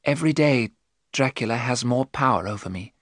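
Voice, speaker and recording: A man voicing a brave young woman, earnest, resolute and measured, in an audiobook story. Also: mid-range voice, lively intonation, no echo, clean studio sound, close to an everyday voice. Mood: solemn.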